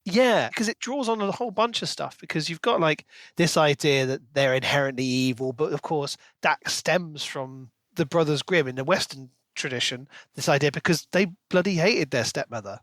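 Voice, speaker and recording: Higher-pitched man in analytical book chat. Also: moderate pace, few pauses, fairly bright tone, no room sound. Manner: analytical, explanatory. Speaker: podcast co-host. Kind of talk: casual book discussion.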